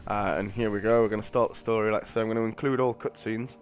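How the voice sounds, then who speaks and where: A man's voice: lowish voice, fairly even intonation, quick pace, highs cut off. A British man giving casual, rambling live commentary as he streams a video game. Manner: rambling, offhand. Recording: game music behind the voice. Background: video game music.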